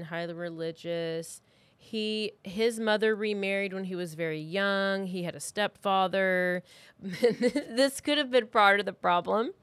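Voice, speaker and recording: A woman tells a true crime story in a casual, conversational podcast style. Her voice sits a little low for a woman. The sound is dry, and she talks informally as if working through notes with a co-host. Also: clean tone, dry recording.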